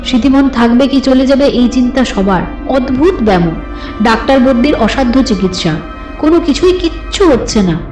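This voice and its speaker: Woman storyteller, higher pitch, expressive dramatic reading, suspense tale, light music underneath. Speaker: narrator of an audio story. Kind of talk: dramatic scripted literary narration in suspense story. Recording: slightly roomy, with music behind. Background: soft background music.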